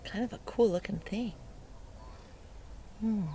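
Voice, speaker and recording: A woman's voice: mid-range voice, slow, mellow, slight background noise. A woman reading a picture book aloud to young children outdoors, speaking playfully with wonder and asking the listener simple questions. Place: outdoors beside a lake.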